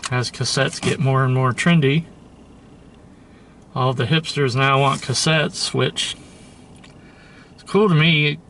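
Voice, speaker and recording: Male, mid-pitched, informal collector-host musing aloud with opinions, faint noise behind him. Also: a bit bright. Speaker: host showing a thrift store tape collection. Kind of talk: casual show-and-tell commentary.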